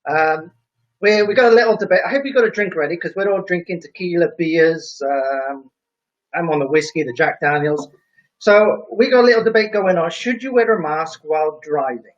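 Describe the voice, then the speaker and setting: A high-pitched, chatty man whose faint Welsh lilt is blurred by years of English living hosts a relaxed live stream, reading viewer comments aloud and teasing them with quiz questions. The audio is dull and lo-fi. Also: moderate pace. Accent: faint Welsh mixed with English. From Wales. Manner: chatty and jokey, quizzing viewers.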